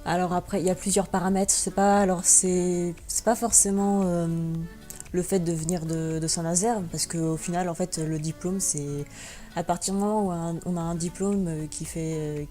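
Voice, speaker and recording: A young woman from France talking as an interview guest, her voice low and mellow. She searches for words, filling pauses and restarting sentences as she goes. Faint music sits behind her. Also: level tone. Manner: hesitant, many fillers and restarts. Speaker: guest blogger and teacher. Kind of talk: interview answers.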